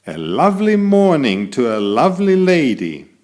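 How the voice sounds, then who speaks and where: A man's voice: a little high, huge pitch swings, moderate pace, a little mellow, voice pushed up. A man voicing an elegant, vain tomcat who chats theatrically to young listeners while telling a children's picture-book story. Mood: cheerful, flattering. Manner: self-admiring, theatrical, addresses young listeners directly.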